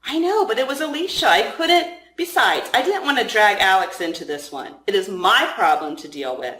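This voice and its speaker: A woman's voice: mid-range voice, lively intonation, moderate pace, fairly bright tone, natural voice. A woman voicing an anxious, earnest young heroine in a story reading. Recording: roomy, echoing room sound.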